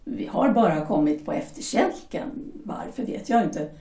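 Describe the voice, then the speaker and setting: A woman's voice: fairly low pitch, expressive, warm tone, recorded from a distance. A woman playing a pragmatic young German mother who insistently coaxes her husband. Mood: persuasive. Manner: coaxing, insistent, brushes off doubts. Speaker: shipyard worker's wife. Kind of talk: amateur audio drama acting. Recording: echoey room, voice set back from mic.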